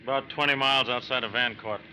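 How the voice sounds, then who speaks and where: An actor playing a steady, methodical FBI agent in an old radio crime drama, measured, polite but firm. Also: male voice, medium pitch. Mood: calm, alert. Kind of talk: 1940s-50s radio crime drama acting. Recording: noisy vintage radio drama recording.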